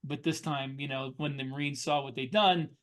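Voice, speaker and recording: A man co-hosting a podcast as an author, talking casually and haltingly about writing fiction. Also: medium pitch, fairly even intonation, a bit bright.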